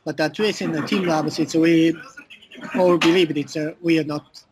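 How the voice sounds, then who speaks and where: A man with a Japanese accent answering as an interview guest, speaking halting non-native English full of filler phrases. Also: a little high, fairly even intonation, noisy background. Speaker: interview guest, art collective communications director.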